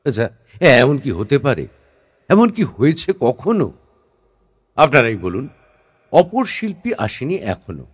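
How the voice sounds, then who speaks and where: A man's voice: mid-range voice, sing-song, a little slow, long pauses, husky. A man acting a proud, teasing, somewhat prickly male stage performer in a theatrical audio drama, full of wry questions. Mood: indignant, perplexed. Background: instrumental background music.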